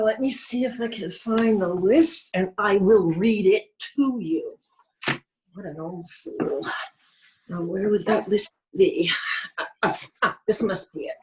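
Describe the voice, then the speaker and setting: Woman acting a cranky old wife, swooping pitch. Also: lively intonation, slow, rough recording. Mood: grumbling, fussing. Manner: grumbling, muttering to herself. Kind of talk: stage play reading over video call.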